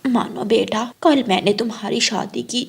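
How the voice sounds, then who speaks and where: A woman's voice: fairly high pitch, normal speed, vocal fry, husky, exaggerated voice. A woman voicing a cruel, greedy old mother-in-law bird in a cartoon, scolding and commanding in a harsh manner. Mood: bossy, scheming. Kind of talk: Hindi cartoon story acting.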